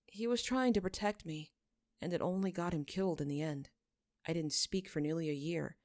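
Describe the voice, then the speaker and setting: Gentle young shepherd read by a woman: compassionate, soft-spoken, earnest, for a fantasy audio story. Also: lowish voice, moderate pace, dry recording, clean studio sound, natural voice. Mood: soft, solemn.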